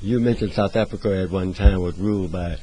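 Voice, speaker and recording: A man's voice: sits low, steady tone, a little fast, dark tone, a little hiss or hum, low-quality recording. A man hosting an interview, asking questions hesitantly with many fillers. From United States. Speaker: host.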